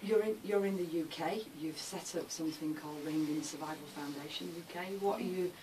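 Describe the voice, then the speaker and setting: A woman's voice: sits low, brisk. A woman interviewing on radio in a conversational, questioning way, often turning statements into questions. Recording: distant mic, clearly noisy background.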